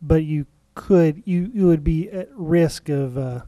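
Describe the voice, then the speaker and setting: A man with a fairly high, very mellow voice gives legal advice in a public meeting. He hedges as he goes and halts often. The sound is dry and close. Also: no room sound. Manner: hedging, halting explanations. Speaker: legal counsel to a city council.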